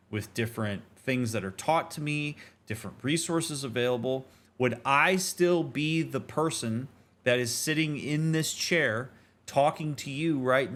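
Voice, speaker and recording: A man talks through a game as a streamer, with a mid-pitched voice. His delivery is earnest and emphatic, explaining ideas conversationally as he reflects out loud. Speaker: streamer, therapist host. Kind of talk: reflective gameplay commentary.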